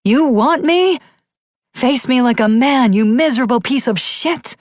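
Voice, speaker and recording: A woman's voice: high-pitched, animated, unhurried, no echo, clean studio sound, pitched above the performer's own voice. A woman voicing a young policewoman who screams in terror and rage. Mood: furious. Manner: shouting, raw, furious.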